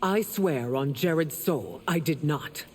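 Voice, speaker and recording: A woman's voice: deep voice, animated, a little slow, very noisy. A woman playing a proud, imperious, mocking warrior queen in a video game, speaking in a haughty, commanding, theatrical way. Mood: stern, indignant. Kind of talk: video game character dialogue.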